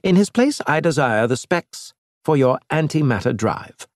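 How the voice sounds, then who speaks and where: A man voicing a cunning, fussy alien male trader, raising his voice high and indignant. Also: very animated, unhurried, dry recording, studio quality. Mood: wheedling.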